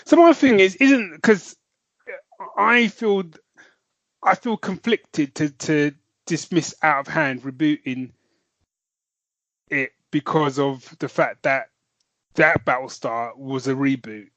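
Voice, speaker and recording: A man's voice: fairly high pitch, slow, long pauses, a bit bright, no echo, dull audio. A man co-hosting a podcast, musing hesitantly and trailing off mid-thought. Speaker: podcast co-host. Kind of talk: casual chat.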